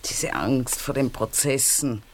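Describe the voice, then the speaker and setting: A woman's voice: extremely low pitch, slow pace, a little hiss or hum. An actress playing a troubled, melancholy woman who reproaches her lover in a radio play, with an Austrian accent. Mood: distressed, reproachful.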